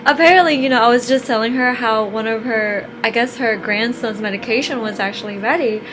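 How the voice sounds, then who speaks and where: A woman's voice: fairly high pitch, a little fast, background noise. A young woman co-hosting a podcast, chatting casually and laughing often as she tells stories. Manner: giggly, laughs often while telling stories. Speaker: podcast co-host. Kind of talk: casual chat.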